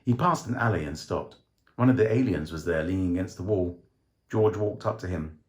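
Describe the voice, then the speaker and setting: A man reading a short story as dramatic scripted narration, with theatrical, measured phrasing. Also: low voice. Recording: roomy, echoing voice recording.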